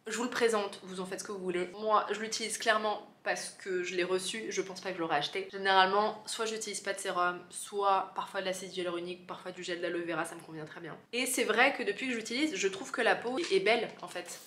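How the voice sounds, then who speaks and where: A woman's voice: mid-range voice, fairly even intonation, fast talker, few pauses, fairly bright tone, echoey room, a little hiss or hum. A woman with a head cold, talking casually and chattily to camera as a beauty vlogger in her office, with lots of asides and little fillers. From France. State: stuffy with a cold.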